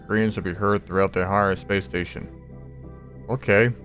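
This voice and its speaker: A man's voice: lowish voice, steady tone, normal speed. A man giving casual, blunt game commentary with swearing, muttered asides and bits of text read aloud. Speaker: gaming let's player. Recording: loud game audio underneath. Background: game music.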